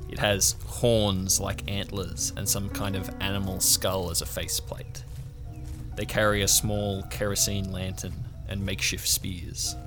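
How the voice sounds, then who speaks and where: Low-voiced man narrating a tabletop game. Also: level tone, a little slow, music in the background. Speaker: game master.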